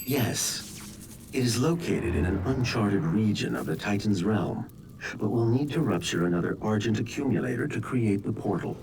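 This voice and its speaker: An actor voicing a calm, precise, helpful artificial intelligence in a sci-fi video game. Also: male voice, fairly low pitch, normal speed. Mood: calm, informative. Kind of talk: video game cutscene acting. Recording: distant voice with background noise.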